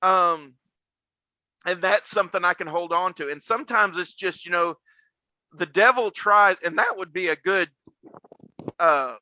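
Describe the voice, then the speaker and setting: A man's voice: sits high, a little slow, long pauses, bright, forceful tone, dry recording, lo-fi sound. A man hosting a casual faith podcast like a preacher, rambling and repeating words for emphasis.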